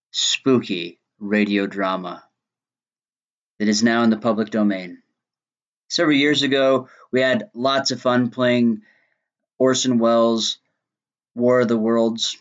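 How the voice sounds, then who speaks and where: A man's voice: medium pitch, lo-fi sound. A man hosting a podcast, delivering an introduction to the listeners. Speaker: podcast host.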